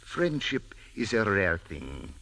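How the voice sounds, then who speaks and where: A grave, courtly desert sheikh. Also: male voice, lowish voice, very animated, a bit bright, exaggerated voice. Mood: courteous. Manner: slow formal speech. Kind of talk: 1940s radio acting. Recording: old radio broadcast with music.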